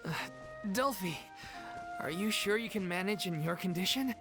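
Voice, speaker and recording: A voice actor playing a gentle, concerned young troupe member in a game. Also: mid-range voice, lively intonation, normal speed, music in the background, lightly acted. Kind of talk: game voice acting.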